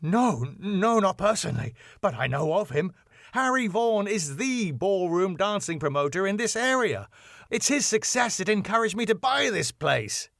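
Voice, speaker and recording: A man playing an excitable, flamboyant married ballroom club owner in a sitcom script reading. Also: high voice, normal speed, vocal fry, no room sound, studio quality, voice pushed up, full character voice. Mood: eager, deferential. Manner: flamboyant, exclamatory.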